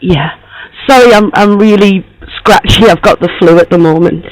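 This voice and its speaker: Hoarse, high-pitched young woman reporter, played by a man, rambling and filler-heavy, dry sound. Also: moderate pace, dry recording, natural voice, low-quality recording. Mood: friendly, chatty. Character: young woman magazine journalist, chatty and polite. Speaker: prank caller posing as interviewer. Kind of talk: prank phone call.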